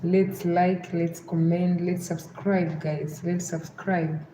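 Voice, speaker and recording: A young woman with a Western Kenyan accent hosts a casual livestream, her voice sitting slightly low. She loops back again and again to pleas for likes and subscribers. The sound is roomy and echoey, with clear background noise. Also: level tone, takes it slowly. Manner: repeats pleas to subscribe over and over. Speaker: livestream host.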